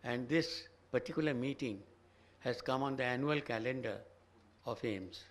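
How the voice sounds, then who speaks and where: An older man with a slightly hoarse voice, giving a measured, careful address as a senior guest at a conference. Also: mid-range voice. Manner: slow, measured, choosing words carefully. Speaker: chief guest, professor emeritus of neurosurgery. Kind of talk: formal address. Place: conference hall.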